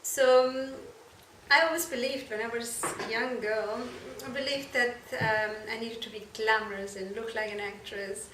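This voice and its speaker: A woman from Germany, answering interview questions earnestly and haltingly, correcting herself as she goes. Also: a little high, steady tone. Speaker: fashion and shoe designer being interviewed. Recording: echoey room, distant mic, noisy background.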